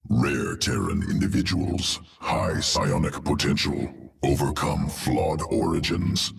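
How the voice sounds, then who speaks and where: An actor voicing a cold, clinical alien creature scientist in a video game cinematic, who speaks in clipped, terse phrases that drop small words. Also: male voice, slow, recorded from a distance, heavily acted. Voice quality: deep gravelly clipped speech. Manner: drops small words, flat analytical.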